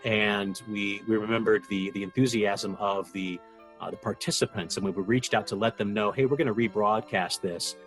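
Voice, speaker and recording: A man's voice: fairly low pitch, steady tone, moderate pace, soft background music, rough recording. A man being interviewed, looking back reflectively on his experiences. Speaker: radio drama participant being interviewed.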